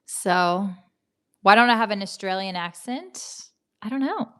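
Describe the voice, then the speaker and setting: A woman's voice: medium pitch, animated, unhurried, sharp tone, smooth. Chatty Southern American woman streamer. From Tennessee. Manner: chatty, laughs often, greets viewers by name. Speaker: livestream host. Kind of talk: casual chat reading comments.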